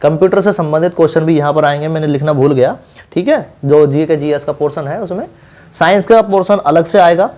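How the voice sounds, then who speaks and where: A man's voice: fairly high pitch, a little fast, a little mellow. A man giving an informal explainer lesson to exam candidates, instructive, repeating key points and checking in as he goes. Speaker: exam-preparation teacher and channel host.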